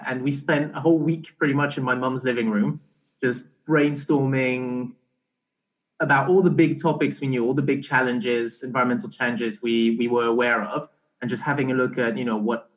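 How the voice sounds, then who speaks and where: A young man being interviewed, explaining casually in a halting way and often restarting his phrases. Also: medium pitch, fairly bright tone. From Belgian-born, partly raised in Barbados. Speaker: interview guest, startup co-founder.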